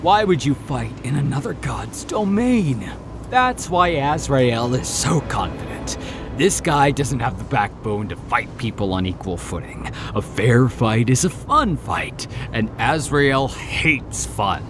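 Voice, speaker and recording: A man acting as a cocky hunter god in a mythology audio drama. He drawls his taunts slowly in a gravelly, creaking voice that swings widely in pitch, menacing and mocking, with music behind him. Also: big pitch swings. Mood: cocky taunting.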